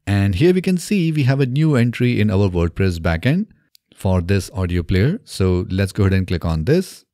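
A man in his thirties presenting a software tutorial step by step in a methodical way, recorded close on a desk microphone in a small home studio. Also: lowish voice, no room sound. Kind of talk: scripted software tutorial narration. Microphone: close desk microphone on boom arm.

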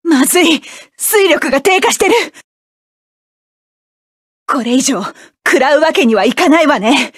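A proud hot-tempered mecha pilot. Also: female voice, high voice, expressive, unhurried, long pauses, no room sound, studio quality. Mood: defiant, strained. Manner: sharp, commanding, clipped battle barks. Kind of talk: video game battle voice lines.

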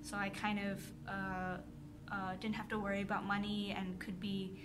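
A woman's voice: medium pitch, flat delivery, normal speed, background noise. A woman in her thirties, born in Singapore, rambling casually about her life in her first vlog, with many fillers and restarts, recorded on a phone with earphone mic. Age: 30s. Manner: hesitant, many fillers and restarts, soft-spoken. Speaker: artist vlogger. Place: indoors in front of a pink backdrop. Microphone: earphone mic, phone camera close up.

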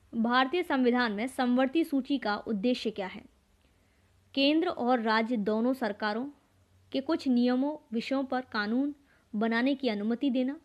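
Woman teacher w a highish voice, reading out quiz questions and answers methodically. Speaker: exam-prep teacher.

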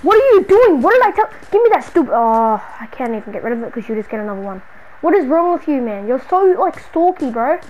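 A woman's voice: high-pitched, very animated, fast, muffled recording, slight background noise. A woman playing an exasperated, slangy city dweller hounded by a stalker friend in an improvised video game roleplay. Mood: exasperated scolding. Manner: slangy, muttering to self, then scolding.

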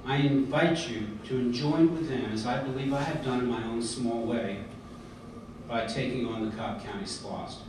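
A middle-aged American man giving an earnest prepared speech at a podium, often pausing on fillers and restarts. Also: mid-range voice, fairly even intonation. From United States. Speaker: convention speaker. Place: large hotel conference hall, indoors. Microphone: podium mic, filmed by a far camera. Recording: echoey hall from far off, background noise.

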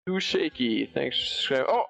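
A man's voice: medium pitch, lively intonation, brisk, vocal fry, fairly bright tone, soft background music. A young man streaming a game from a small room on a headset, chatting casually, swearing and thanking subscribers.

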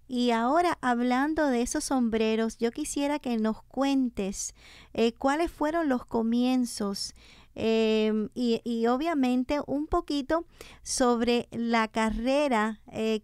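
A woman's voice: on the high side, slow pace, darkish tone, clear, resonant tone, dry recording. A Cuban woman hosting a radio interview in a studio, asking brief attentive questions into a studio mic. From Cuba. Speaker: radio show host. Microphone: studio microphone with headphones.

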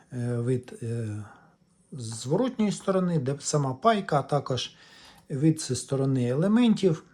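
A man's voice: on the high side, mellow. A man giving a casual, step-by-step repair demonstration, explaining in a hesitant way. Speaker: electronics repair vlogger.

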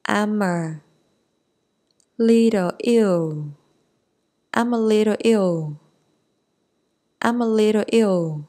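A woman reads English practice phrases at a very slow, deliberate pace. She speaks at a medium pitch and breaks each sentence into pieces before repeating it in full. The delivery is even and careful, meant for beginners to follow. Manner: careful, splits phrases into chunks then repeats them whole. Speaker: English teacher.

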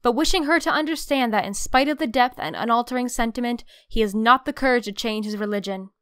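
An actress playing a pious, earnest grand duchess, reading a letter aloud in a pleading manner for an audio drama. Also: female voice, on the high side, fast, a bit bright, smooth, natural voice. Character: earnest grand duchess aunt, pious, urging young lovers on.

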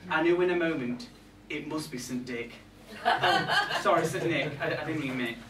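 A man's voice: fairly high pitch, normal speed, recorded from a distance, a crowd in the background, natural voice. A young man playing a catty, resentful reindeer in a comic monologue, indignant and gossipy, with arch asides to a live audience. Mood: sarcastic, gossipy. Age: young adult. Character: catty, resentful male reindeer grumbling about Santa's crew. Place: small pub room with a live audience. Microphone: far camera. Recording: echoey room from afar, background noise.

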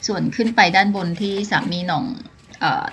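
A woman's voice: medium pitch, brisk, fairly bright tone, a little hiss or hum. A woman, chatting politely as she vlogs a hike outdoors, filming on a hand-held phone. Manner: chatty, polite, many filler sounds. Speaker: vlogger. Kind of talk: casual travel vlog narration. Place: outdoors on a mountain trail. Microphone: phone camera held at arm's length.

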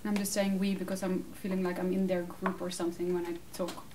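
A Dutch woman in her thirties answering audience questions in a casual, animated way, laughing often; English is her second language. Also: fairly low pitch, fast. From Netherlands. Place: small room with curtained backdrop, seated. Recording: distant mic, faint room noise.

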